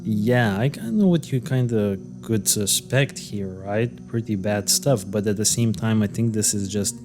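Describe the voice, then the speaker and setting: A man's voice: on the low side, a little slow, over music. A man co-hosting a podcast, recounting a case in loose, hesitant casual chat full of fillers and restarts. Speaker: podcast co-host. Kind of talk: casual conversational storytelling.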